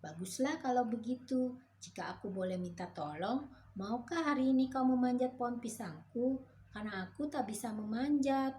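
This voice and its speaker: A woman's voice: a little high, moderate pace, darkish tone, slight background noise, pitched above the performer's own voice, natural voice. A woman voicing a kind, trusting frog in a children's story, speaking politely and pleading.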